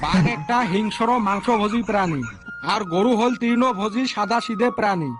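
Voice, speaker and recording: A man's voice: high-pitched, normal speed, close to an everyday voice. A man voicing a cheeky schoolboy in a comedy cartoon, giving smart-aleck answers in a mock-earnest, explaining way. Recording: loud music under the voice.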